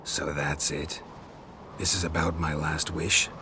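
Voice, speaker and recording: Very low, gruff stoic monster hunter. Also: male voice, measured pace, a little hiss or hum, full character voice. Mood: guarded, dry. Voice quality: low gravelly voice. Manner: laconic, deadpan. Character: gruff, stoic male monster hunter, dry-witted and terse. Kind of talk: video game voice acting.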